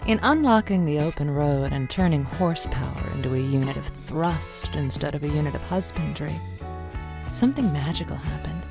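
An actress playing a wry late-night advice show host in an audio drama, sardonic and smooth with a poetic streak. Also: female voice, low voice, normal speed, music in the background. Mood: sultry, musing. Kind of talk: semi-improvised audio drama, radio monologue.

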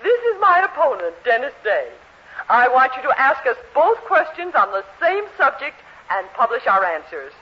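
A woman's voice: sits high, sing-song, normal speed, husky, heavily acted. A woman playing a stern, imperious middle-aged matron in a 1940s radio sitcom. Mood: bossy. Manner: imperious, emphatic. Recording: muffled, noisy 1940s radio recording.

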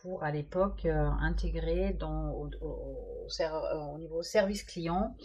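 A German-born woman in her fifties with French as a second language, talking about her career in an interview, full of pauses and fillers. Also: low voice, lively intonation, darkish tone, husky. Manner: hesitant, many fillers. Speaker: guest executive. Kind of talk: podcast interview.

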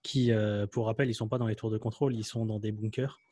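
A man's voice: lowish voice, level tone, fast talker, mellow, no room sound, clean studio sound. A man in a casual tech chat, telling things in an informal, slangy, anecdotal way. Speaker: podcast panelist.

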